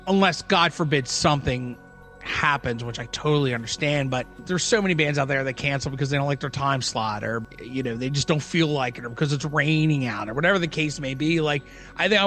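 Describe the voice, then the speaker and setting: A man's voice: medium pitch, a bit bright. A man co-hosting a podcast in casual chat, talking informally in long, rambling, noncommittal answers. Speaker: podcast co-host; former band musician.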